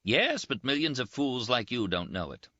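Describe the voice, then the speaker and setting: A man's voice: sing-song, pushed and bright, no echo, dull audio. Man voicing a brash teasing young Italian officer. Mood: boastful. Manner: teasing, boastful, quick, pitched up. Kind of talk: audiobook character voice.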